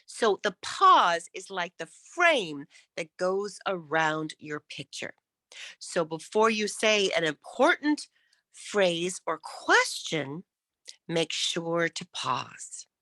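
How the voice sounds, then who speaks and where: A woman's voice: on the low side, big pitch swings, slow pace, a bit bright, dry recording. A woman coaching public speaking in an interactive lesson, encouraging and emphatic as she demonstrates.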